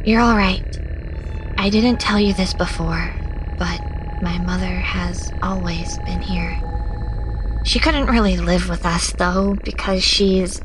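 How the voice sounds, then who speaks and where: An actress playing a mysterious, protective young woman in a horror audio story, speaking in a deliberate, reassuring way. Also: on the low side, rough recording. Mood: reassuring, hesitant. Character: mysterious, protective young woman, half monster, calmly coaxing. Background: music.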